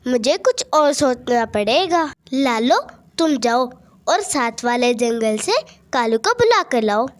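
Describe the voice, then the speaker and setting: A sensible ageing female sparrow from a cartoon story, played by a woman. She speaks very slowly and deliberately in a high voice with lively rises and falls. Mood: earnest.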